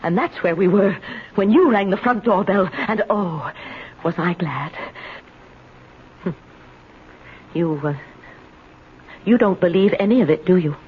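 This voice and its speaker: An actress playing a timid, shaken retired spinster who lives alone, speaking hesitantly and fretfully in a radio drama. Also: female voice, medium pitch, a little slow, long pauses, close to an everyday voice. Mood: anxious, confiding. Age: older. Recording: dull, noisy old radio broadcast.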